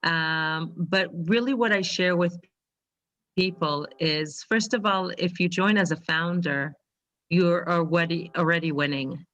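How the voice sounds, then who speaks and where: Woman, Hebrew native, low voice, hesitant, many ums, muffled video call audio. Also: unhurried, fairly bright tone. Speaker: webinar participant. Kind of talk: casual pitch on a video call.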